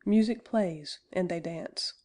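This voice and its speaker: A woman's voice: fairly low pitch, slow pace, darkish tone. A woman narrator reading stage directions from a script in a neutral, matter-of-fact manner.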